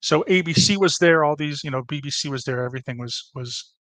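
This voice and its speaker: A man's voice: on the high side, brisk, a bit bright, no echo. A man presenting a history topic on a casual podcast, with many fillers and restarts.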